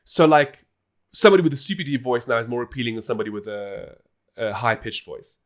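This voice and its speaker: A man's voice: medium pitch, expressive, fast. Chatty man explaining on a podcast. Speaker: podcast host. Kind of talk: casual explanatory podcast talk.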